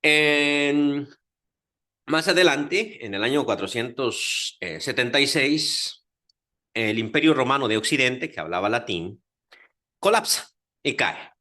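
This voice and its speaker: A man with a Guatemalan accent lecturing a class on Bible history, talking in a lively way with many fillers and check-ins to his listeners. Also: mid-range voice, slow. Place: Dominican Republic, class audience.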